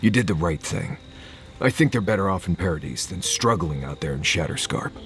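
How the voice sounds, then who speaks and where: Game companion: world-weary man, very low voice, blunt and conversational, clear music behind. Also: animated, fairly quick, a bit bright. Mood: weary, conceding. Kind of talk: naturalistic video game dialogue.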